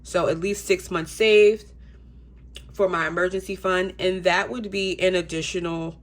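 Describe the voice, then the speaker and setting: A woman's voice: fairly low pitch, a little slow. A young adult woman with a congested voice, talking casually to her viewers in a budgeting vlog with frequent ums. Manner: chatty, relaxed, frequent ums. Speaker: budgeting YouTuber.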